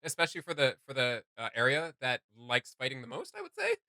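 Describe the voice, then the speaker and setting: A man hosting a reaction video, giving casual, animated fan commentary. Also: mid-range voice, fast, no room sound, studio quality.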